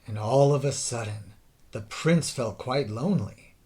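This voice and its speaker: A man narrating a rhyming children's story in a theatrical, deliberate way, drawing out the ends of phrases. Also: medium pitch, mellow. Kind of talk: dramatic scripted children's story narration in rhyme.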